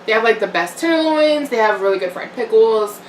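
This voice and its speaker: A woman's voice: on the high side, expressive, a little fast, a little hiss or hum. Chatty young woman in an echoey room. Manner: rambling, chatty, with fillers and soft laughs. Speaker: vlogger. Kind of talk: casual eating-show chat. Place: home room, eating on camera. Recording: echoey room, mic a bit far off.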